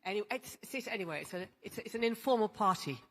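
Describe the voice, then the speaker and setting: A woman moderating a conference panel, chatty and halting with many restarts. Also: mid-range voice, lively intonation, fairly bright tone, slight background noise. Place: large conference hall. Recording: long hall reverb.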